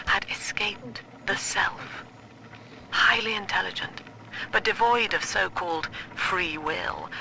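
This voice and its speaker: An actress playing a cold, cerebral middle-aged psychiatrist and cult leader in a video game, speaking in a formal, precise, solemn manner. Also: female voice, on the low side, gravelly, background noise, full character voice. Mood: hushed, musing. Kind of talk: dramatic video game monologue.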